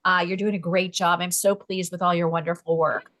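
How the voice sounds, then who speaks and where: A woman's voice: fairly low pitch, level tone, a little fast, a bit bright. A woman chatting casually as a workshop leader, effusive and encouraging in manner.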